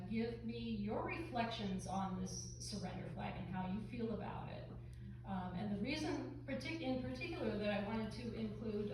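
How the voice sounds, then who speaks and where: A woman filmmaker answering audience questions after a screening, thoughtful and full of pauses and fillers. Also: medium pitch. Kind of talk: casual post-screening Q&A. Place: large theater hall, heard from far off. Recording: echoey hall, distant mic, noise.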